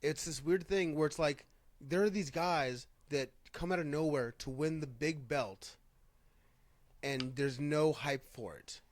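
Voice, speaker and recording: A man with a slightly higher-pitched voice, recorded close and dry. He hosts a loose, casual fan discussion, talking informally and feeling his way through sentences. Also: a little slow, no room sound. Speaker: podcast host. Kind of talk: casual chat about pro wrestling.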